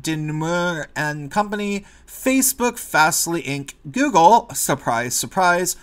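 A man's voice: on the high side, a bit bright. A man with a nasal voice giving sarcastic, rambling opinion commentary as a vlogger.

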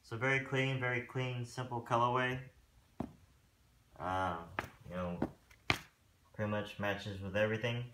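A man's voice: mid-range voice, a little slow, long pauses, background noise. A man talking casually through a sneaker review in a room at home, rambling a little and filling gaps with "um". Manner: relaxed and rambling, with many fillers. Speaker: sneaker vlogger. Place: room with a wooden floor, indoors.

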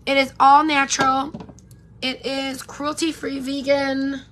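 High-pitched woman doing her makeup at home, voice kinda worn out, chatty, noisy background. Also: little pitch movement, slow, fairly bright tone. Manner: chatty, rambling, greets viewers by name. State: losing her voice. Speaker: beauty vlogger. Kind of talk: casual get-ready-with-me live chat. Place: home room with pink neon lights, indoors. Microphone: camera in front at close range.

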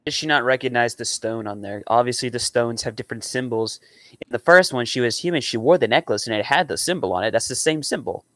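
A man's voice: mid-range voice, fast, a bit bright, no echo. A young adult man giving casual reaction commentary as a video host in a room at home.

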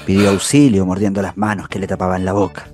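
An actor playing a grave, indignant storyteller in a literary radio drama. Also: male voice, low voice, level tone, fast talker, lightly acted. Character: grave, indignant male storyteller witnessing a crime. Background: music.

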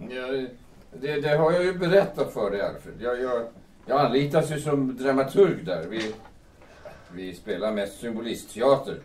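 An actor in an audio drama playing an earnest, pleading theatre dramaturge. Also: male voice, mid-range voice, expressive, darkish tone, lightly acted. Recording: roomy, distant mic, faint noise.

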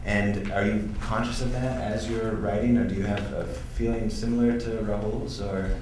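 A man's voice: fairly low pitch, steady tone, normal speed, a little mellow. A man moderating a panel, asking probing conversational questions. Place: event hall. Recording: distant, echoey hall with noise.

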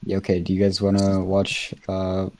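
A man's voice: low voice, completely flat delivery, moderate pace, darkish tone. A man joking and teasing friends over casual gaming voice chat.

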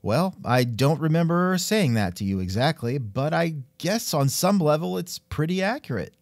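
A man's voice: fairly high pitch, expressive, moderate pace, dry recording. A man hosting a scripted comedic interview, with a wry, joking manner. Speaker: podcast host and interviewer.

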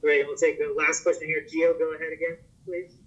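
A man with a higher, flat voice calls on reporters as press conference moderator. He sounds brisk and businesslike, and his audio is dull and lo-fi from a distance.

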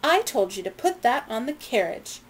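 A woman's voice: fairly high pitch, big pitch swings, normal speed, breathy edge, pitched above the performer's own voice. A woman reading aloud and voicing a haughty wealthy lady, imperious and indignant. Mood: sharp.

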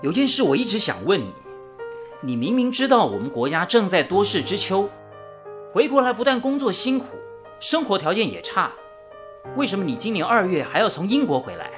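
A man's voice: high voice, big pitch swings, a little fast, a bit bright. An actor playing a polite, devoted young male engineer in a radio drama, a little hesitant in manner. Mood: curious, admiring. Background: music.

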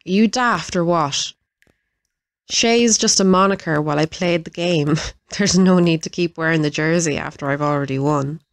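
A woman acts out a sadistic Irish female assassin in an audio drama. Her low, dry-recorded voice is droll and playful, flirting and taunting while she relishes violence. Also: normal speed, dry recording. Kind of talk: audio roleplay acting.

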